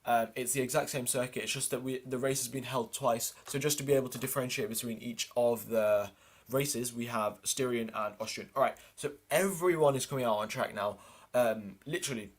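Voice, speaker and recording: A man's voice: mid-range voice, fairly quick. Man doing live race commentary. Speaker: livestream host and commentator.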